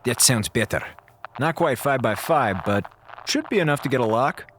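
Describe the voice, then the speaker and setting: An actor with an American accent playing a wisecracking, slangy communications officer on a space station in an audio drama. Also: male voice, mid-range voice, lively intonation, moderate pace, noisy background, lightly acted. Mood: impressed. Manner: sardonic, slangy.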